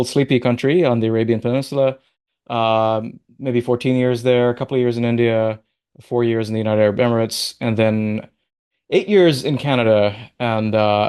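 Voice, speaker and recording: A man's voice: medium pitch, a little mellow, smooth. A man raised in the Middle East, speaking as a guest in a video-call interview, thoughtful and prone to restarting sentences. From Middle East (born in Qatar, raised in Oman). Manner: thoughtful, restarts and rambles while recalling. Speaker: guest, sociology professor.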